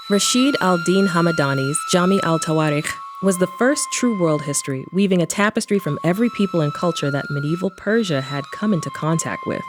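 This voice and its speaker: A woman's voice: deep voice, background noise, close to an everyday voice. A woman playing a wry, knowing adventurer who narrates her own story in an audio drama with flippant asides. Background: music.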